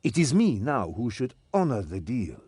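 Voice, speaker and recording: An actor playing a stern, commanding old mentor in a game cutscene, with theatrical delivery. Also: male voice, medium pitch, very animated, moderate pace, dark tone, slightly rough, dry recording. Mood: testing, instructive. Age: older. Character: stern old male mentor, servant of a master. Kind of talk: scripted game cutscene acting.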